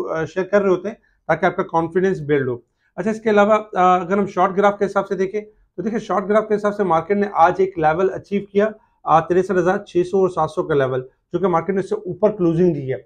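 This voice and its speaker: A man in his thirties with a Pakistani Urdu accent, giving a daily stock market update from a small office and filling pauses with frequent "uh"s. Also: high voice, dull audio. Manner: steady explanatory delivery with frequent "uh" fillers. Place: small office with desk and monitor, indoors. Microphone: camera at desk distance.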